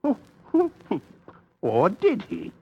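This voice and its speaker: Sardonic captain with wildly swinging pitch, man acting. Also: wildly swooping pitch, creaky, husky, highs cut off, exaggerated voice. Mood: needling. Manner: dry, needling, deliberate. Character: cool, sardonic sea captain turning tables on accusers. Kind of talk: radio mystery play acting.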